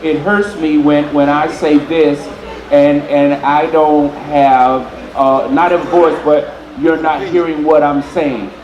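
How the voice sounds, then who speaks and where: A man giving unscripted relationship advice, explaining deliberately and repeating phrases as he works through his point. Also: fairly high pitch, steady tone, a little mellow, dull audio. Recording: roomy echo with faint noise.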